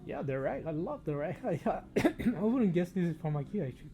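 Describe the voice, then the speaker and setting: Mellow-voiced man interviewing on location. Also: high-pitched, very animated, fairly quick. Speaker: interviewer reporting from a hi-fi show. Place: demo room at an audio show. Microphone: binaural microphone.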